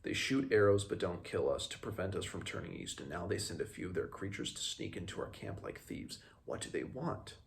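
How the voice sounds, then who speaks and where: A stern warrior prince from a storybook read by a young man speaks in a deep voice with some gravel in it. He sounds exasperated and questioning. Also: very animated, brisk, few pauses, a little hiss or hum, heavily acted. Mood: puzzled.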